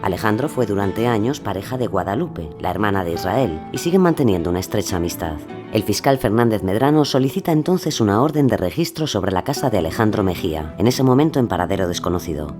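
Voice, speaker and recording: A male narrator with a fairly low voice and animated intonation delivers scripted documentary drama. Music runs behind his storytelling. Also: fast talker.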